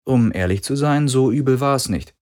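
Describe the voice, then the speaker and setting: A man's voice: mid-range voice, animated, moderate pace, darkish tone, voice pushed up, natural voice. A man voicing a smug, slyly genial antagonist in an audiobook, sardonic and probing, drawing out his words. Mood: smug, teasing. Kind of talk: audiobook character voice.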